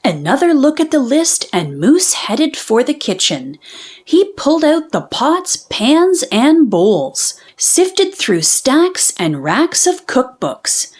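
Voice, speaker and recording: A woman reading a children's storybook aloud in an expressive, deliberate way with dramatic pauses. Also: sits high. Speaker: children's book reader. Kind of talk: animated storybook read-aloud.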